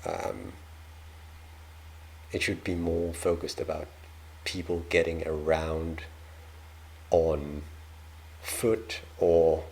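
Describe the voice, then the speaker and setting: A man's voice: rumbling low, drawn-out words, vocal fry, long pauses, darkish tone, a little hiss or hum, rough recording. A man from Denmark with a Danish accent, hosting a casual reaction video and talking hesitantly with many ums and restarts.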